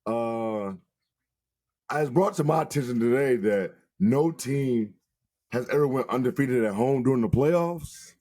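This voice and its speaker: A man co-hosting a casual sports show, his voice worn hoarse and nearly gone. He argues hard and swears freely, mid-pitched. The sound is close and dry with no room around it. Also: a little slow, no echo. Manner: animated, emphatic, swears freely. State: losing his voice. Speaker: podcast co-host.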